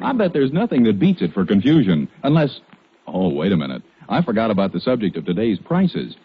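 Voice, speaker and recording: A man announces in forties radio commercial style. His medium-pitched voice swings very widely in inflection. It is a dull old recording with music clearly behind him. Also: wildly swooping pitch, a little fast. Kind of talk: 1940s radio commercial narration. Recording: muffled 1940s radio recording over music.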